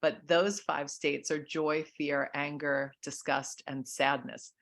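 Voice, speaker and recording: Low-voiced woman interviewing over video call. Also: steady tone, a bit bright. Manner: measured, with many fillers and restarts. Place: home room.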